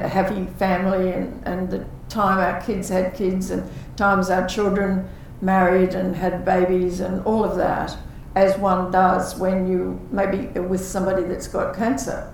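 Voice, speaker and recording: A woman's voice: mid-range voice, creaky, darkish tone. An older woman, a former carer, talking earnestly and reflectively about looking after her husband, with restarts and quoted dialogue. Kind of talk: reflective conversation. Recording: echoey room, far from the mic, faint noise.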